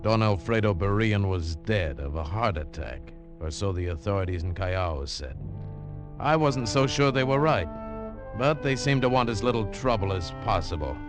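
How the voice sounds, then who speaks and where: An actor playing a hard-boiled, suspicious adventurer, terse and sardonic, in a 1940s radio drama. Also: male voice, on the low side, animated, normal speed, music in the background, close to an everyday voice. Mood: wry narration. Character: hard-boiled, suspicious male adventurer narrating his own tale. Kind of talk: 1940s radio drama acting with first-person narration.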